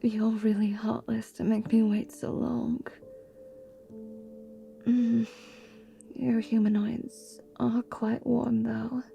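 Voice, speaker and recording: A haughty, sharp-tongued half-vampire woman, played by a woman for a fantasy audio roleplay. Her voice is dark and smooth-edged with little creak, and she delivers sardonic threats at a very measured pace over faint music. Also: a little high, little pitch movement, heavily acted. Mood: tired, sheepish. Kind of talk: fantasy ASMR roleplay acting.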